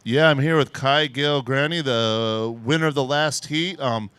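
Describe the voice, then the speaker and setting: Male surf co-host and interviewer, smooth clear voice, dry close sound, casual questioning. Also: medium pitch, normal speed, a bit bright, no room sound. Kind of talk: casual post-heat interview.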